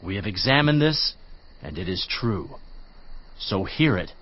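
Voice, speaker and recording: A man's voice: lowish voice, measured pace, highs cut off, a little hiss or hum. A man voice-acting a solemn friend giving declamatory counsel in a dramatized Bible reading. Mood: admonishing. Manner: declamatory, lively preaching cadence.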